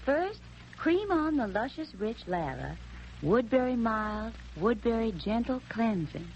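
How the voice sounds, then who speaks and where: A woman playing a dreamy young sweetheart in a 1940s radio commercial, with luxuriant, drawn-out phrasing. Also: fairly high pitch, sing-song, darkish tone. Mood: dreamy, enticing. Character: dreamy young sweetheart extolling beauty soap. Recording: dull, noisy 1940s radio recording.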